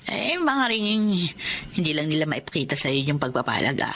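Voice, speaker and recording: An actress playing a calm, sympathetic female confidante in a Filipino drama, with a Filipino accent. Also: low-pitched, fairly quick, slight background noise. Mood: consoling. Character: calm, sympathetic woman confidante giving moral advice. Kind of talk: Filipino radio-style drama acting.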